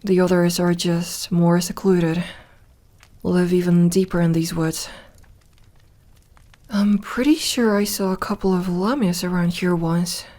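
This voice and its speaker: A woman's voice: on the low side, steady tone, unhurried, mellow, close to an everyday voice. A woman playing an ancient, lonely vampire in an ASMR roleplay, playful and tender, with a teasing drawl that turns hesitant when flustered. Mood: gentle, sad.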